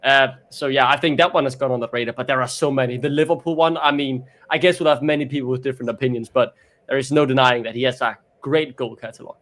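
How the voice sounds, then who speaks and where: A man's voice: mid-range voice, fairly even intonation, fast talker, a bit bright. A man, co-hosting a casual fan chat over a video call and rambling along in a chatty way. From Denmark. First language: Danish. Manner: rambling, chatty, repeats his opening words. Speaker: co-host.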